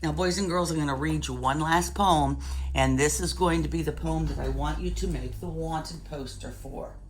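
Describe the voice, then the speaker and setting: A woman in her fifties teaching young children, giving deliberate step-by-step instructions. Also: sits low, a little hiss or hum. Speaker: elementary school teacher. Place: room at home.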